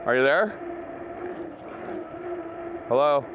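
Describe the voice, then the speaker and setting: A man's voice: medium pitch, lively intonation, very slow, muffled recording, noisy background. A man hosting a gear review vlog, chatting informally and directly to his viewers. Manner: informal, conversational, talks to viewers. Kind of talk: casual product review chat to camera.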